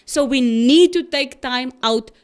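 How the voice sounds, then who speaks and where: Chatty woman, higher voice. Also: a little slow, clean tone. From South Africa. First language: Afrikaans. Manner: chatty, laughs often, restarts sentences. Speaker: podcast co-host. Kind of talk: casual podcast chat.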